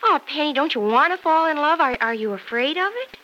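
An actress playing a romantic young married confidante, gently probing and playful, in old-time radio soap style. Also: female voice, sits high, expressive, a little fast, dull audio. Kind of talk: 1940s-50s radio soap acting. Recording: faintly noisy old radio transcription.

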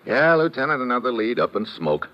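An actor playing a tough, plainspoken investigator in an old-time radio drama, clipped and slangy. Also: male voice, medium pitch, sing-song, a little fast, natural voice. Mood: hard-boiled, terse. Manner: clipped, slangy, hard-boiled. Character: tough, plainspoken male investigator working a murder case. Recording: dull, lo-fi vintage radio recording.

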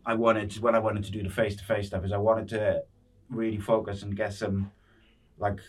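Lowish male podcast host, slightly distant. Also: flat delivery, moderate pace. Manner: informal, matey, loosely phrased. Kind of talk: casual interview chat.

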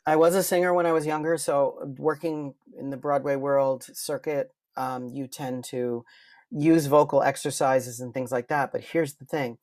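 A man's voice: mid-range voice, steady tone, moderate pace, a little mellow, no room sound. A man speaking as a podcast guest, explaining his craft in casual conversation and often interrupting himself with a new thought. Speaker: guest, voice impressionist and performer.

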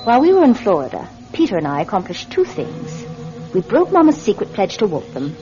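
A sharp-tongued, scheming daughter. Her voice is mid-pitched and very animated, with a persuasive edge suited to radio drama. The recording is muffled, with music underneath. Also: brisk. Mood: confiding narration. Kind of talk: old-time radio drama acting and narration. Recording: dull vintage radio, music behind.

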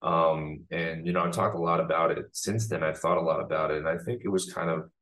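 A man from the United States, a filmmaker guest in a casual interview, talking in a reflective way with many pauses. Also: low-pitched, steady tone, fairly bright tone.

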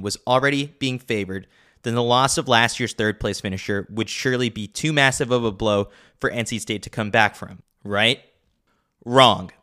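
A man's voice: medium pitch, pushed and bright. A man narrating a scripted sports newsletter as a podcast host, with a wry, opinionated way of talking.

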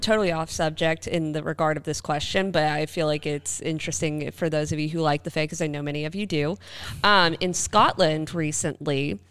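A woman with a clear, smooth voice pitched slightly low co-hosts a podcast. She chats informally, explaining her thoughts to listeners in a casual conversational style. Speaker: podcast co-host. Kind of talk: casual chat answering listener questions.

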